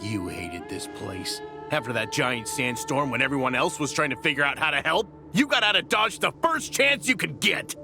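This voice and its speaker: A man's voice: fairly high pitch, big pitch swings, moderate pace, hardly any pauses, over music. A man voicing a hot-headed, indignant desert clansman in a video game, blunt and accusing. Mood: angry, accusing.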